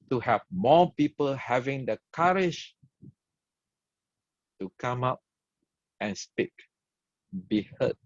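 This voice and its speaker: A man with a Malaysian English accent delivers an opening address in short, emphatic, repeated phrases. He speaks slowly, pausing between each point. The sound is dry and close, with dull, lo-fi livestream quality. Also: medium pitch, no echo. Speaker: event host and organizer. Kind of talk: opening speech at an online event.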